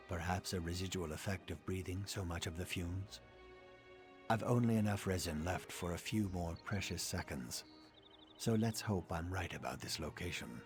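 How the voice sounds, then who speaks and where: An actor playing a brooding male assassin in a video game, speaking in a grave, deliberate and articulate way. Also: low voice. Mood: intent. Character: brooding, deep-voiced male assassin investigating a betrayal. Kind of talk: dramatic video game acting.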